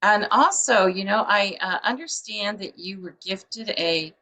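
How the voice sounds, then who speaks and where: A woman's voice: mid-range voice, a little slow, pushed and bright. A woman publisher hosting a book show over a video call, chatty and rambling with many fillers. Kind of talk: casual interview chat.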